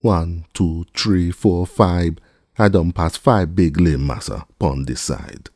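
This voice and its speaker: A deep-voiced reader puts on a stubborn, superstitious old male servant, lifting his voice well above his own into a drawling, pleading dialect. The tone turns husky and gravelly underneath, and the recording is dry and close. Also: slow pace, a little mellow, no room sound, heavily acted. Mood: calling down. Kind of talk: audiobook character voice.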